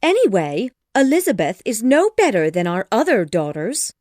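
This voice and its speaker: Storybook role, played by a woman: nagging middle-aged mother, flustered and dramatic, voice swooping high, recorded dry. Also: big pitch swings, a little slow, a little mellow, no echo. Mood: indignant, fretful. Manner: exclamatory, impatient, dramatic. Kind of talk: storybook dialogue acting.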